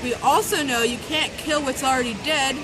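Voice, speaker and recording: A woman's voice: high voice, fairly even intonation, moderate pace. A woman giving a playful, mock-serious dramatic reading of a humorous essay. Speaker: reader of a fan zine. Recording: noisy outdoor urban recording with traffic.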